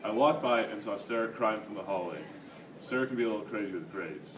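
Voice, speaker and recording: Man playing a fast-talking student witness, echoey room. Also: fairly low pitch, over loud music, lightly acted. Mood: matter-of-fact. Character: student witness giving matter-of-fact testimony. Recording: echoey, noisy room, distant mic.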